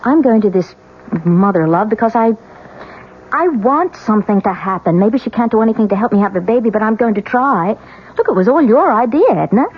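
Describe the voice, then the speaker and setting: An actress playing a desperate, hesitant married woman in a radio drama, earnest and halting, with a pleading manner. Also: on the high side, animated, background noise, natural voice. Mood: anxious, determined. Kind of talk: 1970s radio drama acting. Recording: dull lo-fi radio drama, music behind.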